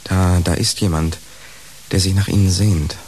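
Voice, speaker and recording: An actor playing a shy, hesitant man who speaks haltingly in a naturalistic radio play. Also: sits low, fairly even intonation, a little mellow, slight background noise, lightly acted. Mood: awkward. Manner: halting, drawn-out.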